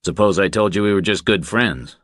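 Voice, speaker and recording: A man voicing a tough, unflappable thriller hero in an audiobook, laconic and calmly confident. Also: deep voice, brisk, no echo, studio quality, pitched above the performer's own voice. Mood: probing.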